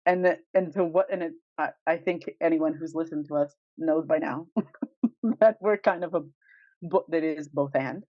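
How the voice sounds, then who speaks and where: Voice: medium pitch, normal speed, no room sound. A podcast co-host in casual conversation who thinks aloud in rambling questions with light chuckles. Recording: slightly dull, dry sound.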